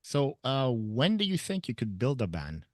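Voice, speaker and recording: A man's voice: mid-range voice, wildly swooping pitch, normal speed, no echo, clean studio sound. A man with a French Canadian accent, a bilingual radio host from Ontario, easygoing and often laughing as he asks questions. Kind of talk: casual interview chat.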